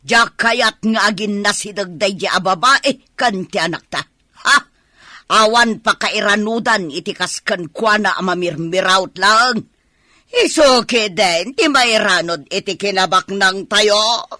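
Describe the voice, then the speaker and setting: A woman's voice: mid-range voice, a little slow, sharp tone, no room sound, clean studio sound. A woman with an Ilocano accent playing a haughty, well-off older mother in a radio drama, dismissive and doubting. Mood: scornful.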